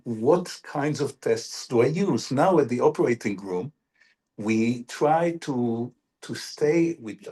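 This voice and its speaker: A man's voice: medium pitch, a little mellow. A man, a professor, answering audience questions in an unscripted academic talk with wry rhetorical questions and emphatic repetition.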